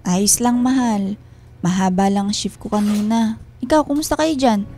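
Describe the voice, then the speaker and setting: A woman's voice: mid-range voice, normal speed, dark tone, slight background noise, close to an everyday voice. An actress playing a lonely, guarded wife and mother in a radio drama, restrained and hesitant, politely hiding hurt.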